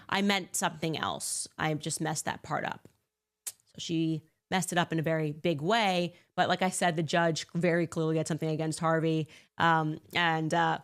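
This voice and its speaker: A woman hosts a commentary monologue in a low-pitched voice. She talks with a sarcastic, incredulous edge, throwing in rhetorical asides between points. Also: a bit bright. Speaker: podcast host.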